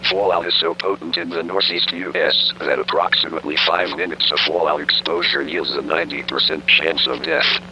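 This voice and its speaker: A man plays a grave emergency broadcaster in an apocalyptic radio drama. His voice is extremely deep and very sharp-edged, solemn and measured. Faint noise and hum sit under the formal announcement. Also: gravelly, full character voice, rough recording. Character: grave emergency broadcaster reporting national collapse. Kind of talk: scripted emergency broadcast narration.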